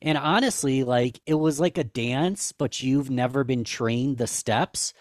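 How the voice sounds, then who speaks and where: A man's voice: unhurried, no echo, clean studio sound. A man guest on a podcast, talking candidly and self-deprecatingly in casual chat, rambling with restarts. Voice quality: higher-pitched for a man.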